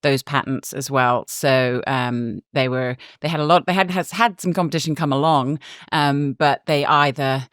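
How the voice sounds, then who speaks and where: A woman's voice: rumbling low, moderate pace, few pauses, a bit bright, no room sound, clean studio sound. A woman guest reporter explaining things in a casual podcast chat, with lots of fillers, restarts and easy laughs. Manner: chatty, laughs often, many fillers and restarts.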